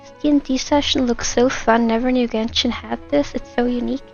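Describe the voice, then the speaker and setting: High-pitched woman streamer with creaky edges. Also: steady tone, a little fast, a little mellow. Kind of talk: live gameplay commentary, casual chat with viewers. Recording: dull microphone sound, game music behind. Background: video game music.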